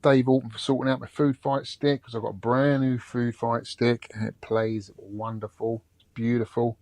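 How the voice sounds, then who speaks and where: A British man co-hosting a podcast, relaxed and easygoing as he chats and reads out listener comments. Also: medium pitch, moderate pace, no echo. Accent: British English. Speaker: podcast co-host. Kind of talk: casual chat, reading listener comments.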